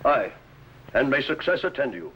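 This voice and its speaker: An actor playing a grave, indignant medieval baron, speaking formally and declaiming in 1950s television drama style. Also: male voice, medium pitch, sing-song, normal speed, fairly bright tone. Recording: dull, faintly noisy old TV soundtrack.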